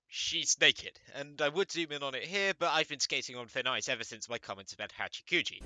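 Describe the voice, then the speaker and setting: Animated, very bright-voiced man, sarcastic commentator. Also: a little high, dry recording, low-quality recording. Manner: sarcastic, mock-exasperated, sweary. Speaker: host of an anime commentary video channel. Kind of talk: scripted comedic commentary. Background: music under the voice.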